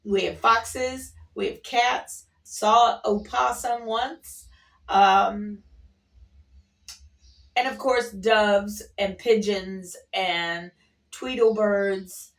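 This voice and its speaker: A woman with a mid-range voice chats casually in an unhurried, meandering way, like a vlogger sharing everyday stories. Her delivery is folksy and playful. The voice sits a bit away from the microphone. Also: medium pitch, far from the mic. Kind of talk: casual rambling storytelling to camera. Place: at an airport workplace.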